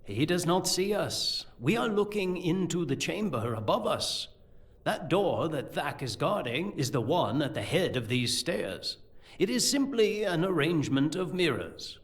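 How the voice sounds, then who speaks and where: A man playing a learned, scheming sorcerer-priest in a fantasy audio drama, speaking in a deliberate, formal, explanatory way. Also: a little high. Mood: calm, ominous.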